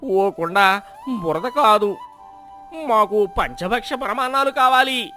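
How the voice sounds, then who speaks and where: A man's voice: sits high, slow pace, pushed and bright, faint music behind, exaggerated voice. A man playing a greedy, self-pitying village husband in a moral tale, with dramatic emotional delivery. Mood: eager, demanding.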